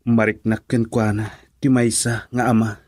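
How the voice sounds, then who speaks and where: A man with an Ilocano accent playing a troubled, earnest father in a radio drama, with a husky voice. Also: lowish voice, little pitch movement, moderate pace, a little mellow, soft background music, natural voice. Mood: troubled, pleading.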